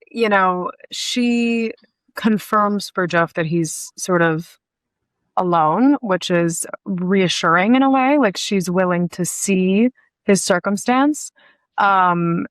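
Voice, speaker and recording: A woman, an actress, giving hesitant, measured answers in a conversational interview. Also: on the low side, dry recording. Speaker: actress being interviewed about her role.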